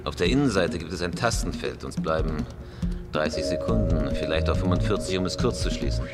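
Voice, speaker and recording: A deep-voiced, terse robber. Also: male voice, animated, a little fast. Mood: cool, businesslike. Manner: clipped, matter-of-fact. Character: terse, calculating male professional robber. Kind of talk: German radio crime drama acting. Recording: loud music behind the dialogue.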